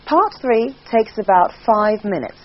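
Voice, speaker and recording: A woman's voice: mid-range voice, unhurried, slightly rough. A woman giving formal scripted narration that explains an exam. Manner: formal, even delivery. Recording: dull lo-fi voice-over.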